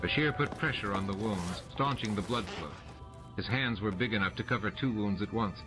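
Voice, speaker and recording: A male audiobook narrator reads science fiction prose from a script. His voice sits fairly low, with clear music and sound effects running underneath. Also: normal speed, rough recording.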